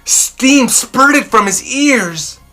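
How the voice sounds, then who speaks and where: A woman narrates a children's story aloud. Her voice is bright and mid-pitched, with slow, deliberate, dramatic phrasing. Soft music plays underneath. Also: lively intonation. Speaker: storybook reader.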